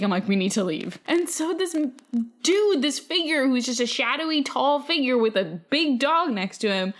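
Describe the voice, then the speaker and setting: A woman's voice: a little high, a bit bright. A woman co-hosting a podcast, chatting and telling personal stories informally with droll reactions. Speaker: podcast co-host. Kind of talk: casual chat and personal storytelling.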